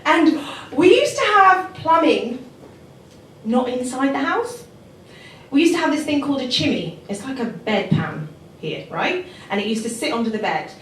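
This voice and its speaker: A woman's voice: high-pitched, animated, moderate pace, a little hiss or hum. A woman from Jamaica performing a stand-up comedy set, wry and self-mocking, laughing at her own lines. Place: comedy club stage. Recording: echoey club from far off. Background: audience laughter.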